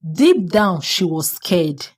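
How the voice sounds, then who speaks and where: A woman's voice: lowish voice, big pitch swings, takes it slowly, a little mellow, husky. A woman narrating a folktale expressively as a storyteller.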